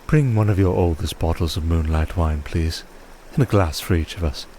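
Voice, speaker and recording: A man playing a commanding, composed vampire archduke in a fantasy audio roleplay, speaking in a formal, measured, dryly teasing way. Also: deep voice, mellow, a little hiss or hum, close to an everyday voice. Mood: gracious, composed.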